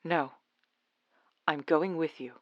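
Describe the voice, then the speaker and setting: A woman's voice: low-pitched, fairly even intonation, slow pace, no echo. A woman playing an anxious, loyal young adult daughter in an old-time radio drama, earnest and dramatic. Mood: worried, stubborn. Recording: dull, dry lo-fi radio recording.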